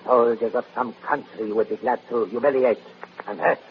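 An actor playing a scheming, sinister doctor and adventurer in a 1930s radio drama, speaking formally and persuasively. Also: male voice, medium pitch, moderate pace, lightly acted. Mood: insinuating. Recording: muffled, noisy vintage radio recording.

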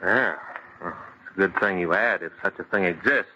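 Bright, blunt skeptical man, old radio. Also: low-pitched, normal speed, exaggerated voice. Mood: impatient. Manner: blunt, scoffing, impatient. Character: sensible, exasperated male friend and future brother-in-law. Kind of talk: old-time radio horror acting. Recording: dull, noisy vintage radio recording.

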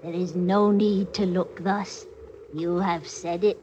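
A woman's voice: lowish voice, steady tone, takes it slowly, warm tone, muffled recording. A woman acting a conniving country wife in a classic radio drama, with archaic rustic phrasing. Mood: anxious, furtive. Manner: theatrical archaic rustic speech. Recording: noisy old radio recording.

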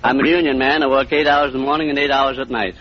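A man's voice: medium pitch, steady tone, fast. A man from Kentucky answering questions politely as a contestant on an old radio quiz show. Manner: polite, addresses host as sir. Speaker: contestant, dance hall bouncer. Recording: dull old radio recording, faint noise.

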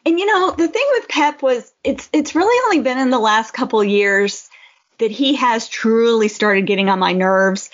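A woman's voice: on the high side, expressive, moderate pace. Woman chatting bluntly on lo-fi podcast. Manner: informal, blunt, frequent "you know". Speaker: podcast co-host. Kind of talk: casual football podcast chat.

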